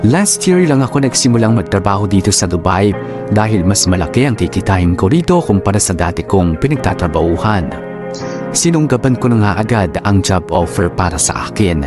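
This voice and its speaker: An actor narrating a first-person letter in a radio drama. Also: male voice, fairly low pitch, natural voice. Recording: music bed under the voice. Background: music.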